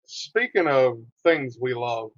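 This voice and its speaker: A man's voice: mid-range voice, very animated, slow pace, dry recording, highs cut off. A man co-hosting a podcast interview, playfully teasing with rambly lead-ins. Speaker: podcast co-host. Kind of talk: casual interview chat.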